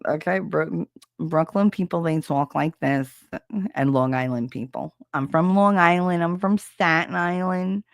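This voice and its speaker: Stuffy, gravelly New host. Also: mid-range voice, unhurried, dry recording, studio quality. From New Jersey. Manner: rambling, chatty, self-deprecating, greets chat viewers. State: stuffy, nasal from a cold. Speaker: livestream host. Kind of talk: casual live-stream chat.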